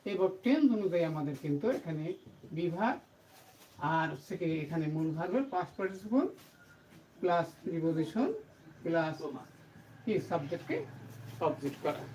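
A woman's voice: on the low side, expressive, normal speed, long pauses, a little mellow. A woman teaching grammar to camera, explaining emphatically and repetitively, asking questions and answering them herself. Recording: distant mic, faint background noise.

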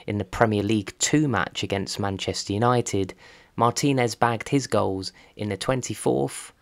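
A man reading scripted documentary narration as a sports video host, in a measured, factual way. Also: fairly low pitch, no echo. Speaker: narrator and host of a football history channel.

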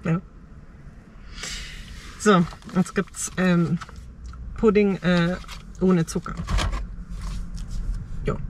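A middle-aged woman talking reflectively in short, halting phrases in a personal vlog, recorded inside a parked car. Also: on the low side, fairly even intonation, darkish tone, a little hiss or hum. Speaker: travel vlogger.

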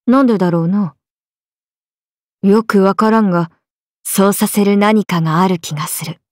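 A woman's voice: medium pitch, moderate pace, dry recording, studio quality, close to an everyday voice. A woman voicing a young swordswoman character in a video game. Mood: flat, musing. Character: swordswomen: a lively young one and her stoic alter self.

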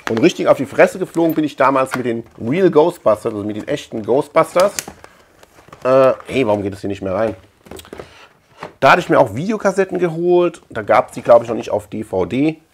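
A man's voice: mid-range voice, animated, brisk, slight background noise. A man chatting casually as a video host, rambling colloquially and repeating words for emphasis. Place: small room at home lined with shelves.

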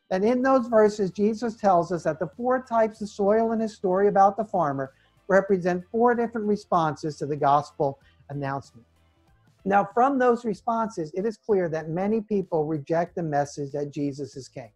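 A middle-aged man preaching a sermon from notes, emphatic and deliberate, repeating key phrases. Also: high-pitched, darkish tone. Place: home sunroom with large windows, indoors.

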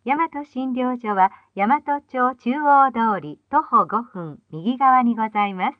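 Female transit announcer, formal and precise diction, fairly high pitch, dry sound on band-limited vintage tape. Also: expressive, a little slow, no room sound. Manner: polite, formal, carefully enunciated. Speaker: bus announcer. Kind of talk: scripted in-vehicle bus stop announcements. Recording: dull phone-like old tape playback.

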